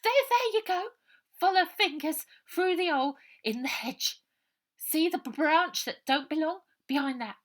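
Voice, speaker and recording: Storybook orphan girl played by a woman: scrawny, bossy, higher-pitched, urgent and streetwise. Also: expressive, a little slow. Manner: urgent, streetwise, nonstandard grammar.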